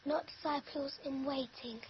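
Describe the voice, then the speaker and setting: High-voiced teenage girl pleading. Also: steady tone, moderate pace, lo-fi sound, slight background noise. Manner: earnest, pleading. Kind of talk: scripted video voice-over.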